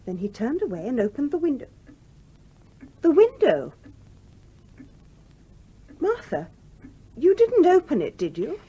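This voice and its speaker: An actress playing a small, genteel, determined English widow in a radio play, speaking in a polite, proper manner. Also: female voice, high voice, huge pitch swings, measured pace, pauses often, dark tone, muffled recording, full character voice. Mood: startled, wondering. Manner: polite, well-spoken, proper. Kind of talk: 1970s radio play acting. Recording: noisy old radio drama recording.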